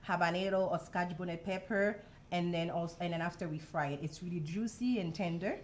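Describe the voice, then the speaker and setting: A young woman from Haiti, a food truck owner, explaining her dishes in a studio interview with soft, halting speech and many pauses. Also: fairly low pitch, slight background noise. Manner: soft-spoken, explains step by step with many ums. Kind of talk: casual interview.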